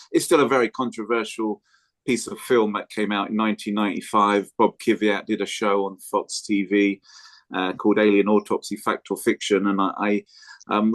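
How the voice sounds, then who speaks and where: A man from England introducing himself as a guest speaker on a video call, hesitant with many pauses. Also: on the low side, a bit bright.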